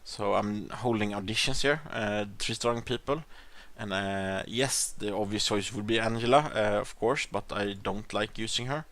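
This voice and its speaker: A man with a Swedish accent gives relaxed gameplay commentary in a somewhat low voice. He thinks out loud, stalling on ums and uhs and restarting his sentences. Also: moderate pace, hardly any pauses. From Sweden. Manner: rambling, hesitant, many fillers and restarts. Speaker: gaming channel host.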